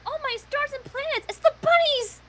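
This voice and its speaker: A woman's voice: very high-pitched, normal speed, background noise, pitched above the performer's own voice. A woman voicing an earnest, easily alarmed cartoon pony heroine in a fan fiction reading.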